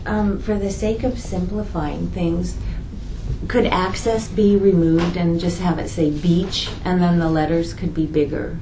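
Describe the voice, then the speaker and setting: A woman's voice: fairly low pitch, fairly even intonation, measured pace, reverberant, background noise. A woman in a committee meeting, talking casually and hesitantly, often trailing off. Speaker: committee member. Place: meeting room.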